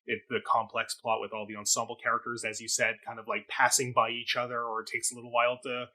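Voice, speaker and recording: A man's voice: mid-range voice, completely flat delivery, fast, sharp tone. A man giving a casual review as a talk show co-host, in a hesitant, rambling, conversational way.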